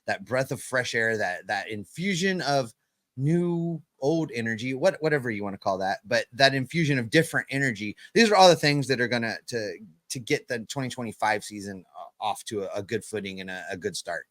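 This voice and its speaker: A man's voice: medium pitch, expressive, fairly quick, fairly bright tone, dry recording. A man co-hosting a sports podcast who reads ads and jokes loosely with his partner. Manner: joking, loose and talky. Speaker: podcast co-host. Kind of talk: casual sports podcast chat and host-read ad.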